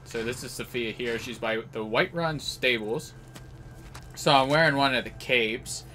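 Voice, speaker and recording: A man's voice: mid-range voice, moderate pace, bright, forceful tone, slight background noise. A man giving casual live commentary as host of a gaming video.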